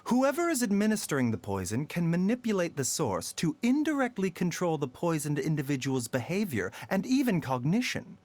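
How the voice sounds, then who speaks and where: A man voicing a calm, learned physician in a video game, explaining things in a measured, gentle way. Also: fairly high pitch.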